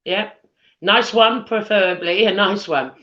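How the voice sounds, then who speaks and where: A British woman hosting a live chat show on a video call, husky from losing her voice, chatty with lots of ums. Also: mid-range voice, a little slow, pushed and bright. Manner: chatty, giggly, many ums. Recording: dull lo-fi video call audio.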